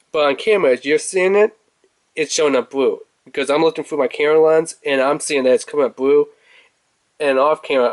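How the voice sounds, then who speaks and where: A man's voice: fairly high pitch, darkish tone, no room sound. A man giving casual, off-the-cuff commentary as he reviews a toy in an unboxing video. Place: indoors at a table.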